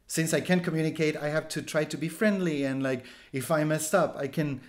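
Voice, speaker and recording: A man's voice: on the high side, brisk. A man in his thirties from Chile, speaking English with a Chilean accent as a second language, telling stories in a casual interview over a headset on a video call, full of fillers and restarts. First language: Spanish. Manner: rambling, frequent "like" fillers and restarts, animated gestures. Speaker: street photographer guest. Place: small room at home, indoors.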